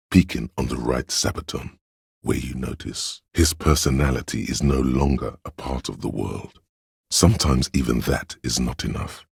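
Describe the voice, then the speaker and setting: An actor voicing a pompous, art-obsessed inner voice in a video game, narrating in a literary, deliberate and theatrical way with a husky, gravelly tone. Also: male voice, a bass voice, dry recording, studio quality, exaggerated voice. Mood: solemn musing. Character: pretentious art-obsessed inner voice, male, musing and cutting. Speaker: narrator of a character's inner skill voice.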